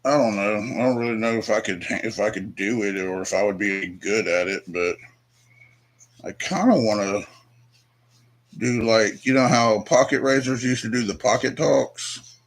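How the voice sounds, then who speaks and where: A man's voice: fairly low pitch, fairly even intonation, normal speed, a little hiss or hum. A man chatting casually and hesitantly while doing leatherwork, rambling and doubting himself. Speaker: leatherworker vlogger. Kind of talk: casual chat while working. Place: home workshop.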